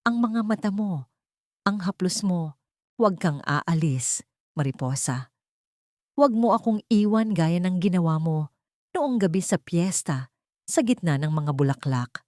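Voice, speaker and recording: A woman with a Filipino accent voicing a wealthy, wounded, brooding man in an audiobook, pleading and halting. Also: lowish voice, lively intonation, a little mellow, no room sound, clean studio sound. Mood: weak, pleading. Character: wealthy, wounded, brooding male master of the house.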